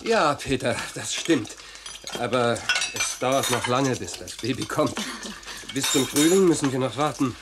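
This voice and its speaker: A patient, soothing father played by a man in a children's radio play. His intonation moves around a lot as he explains things, over clear household clatter. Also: medium pitch, animated, a little slow, heavy background noise, natural voice. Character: calm, patient father of a young family.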